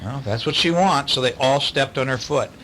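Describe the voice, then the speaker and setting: A man's voice: mid-range voice, moderate pace, heavy background noise. A man reading a bedtime story aloud to children, with chatty asides as the storyteller.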